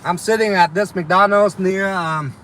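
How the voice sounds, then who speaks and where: A young man with a slight Vietnamese accent talks in a loose, rambling way to live viewers, with plenty of ums and uhs. His voice is bright and forward. Faint city and traffic sounds hang in the background. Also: high-pitched, steady tone, a little slow. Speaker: delivery driver streaming to viewers. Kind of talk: casual live chat reading viewer comments.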